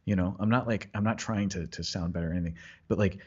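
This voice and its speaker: A deep-voiced, husky man talks easily on a podcast, chuckling and often circling back to restart his sentences. He sounds relaxed and conversational. The recording is a bit dull and lo-fi. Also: quick pace. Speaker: preacher and podcast co-host. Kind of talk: casual chat.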